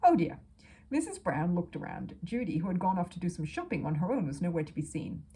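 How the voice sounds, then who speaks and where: A woman reading a children's book aloud as a storyteller, expressive and dramatic, dropping into hushed asides. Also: lowish voice, fast, breathy edge. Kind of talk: expressive children's audiobook narration.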